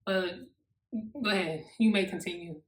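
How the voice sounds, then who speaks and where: A woman's voice: fairly low pitch, a little slow. A woman in her twenties with an American accent, bantering casually to camera in a teasing, slangy way. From Newark, New Jersey, United States. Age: 20s. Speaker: YouTube couple vlogger. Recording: echoey untreated room.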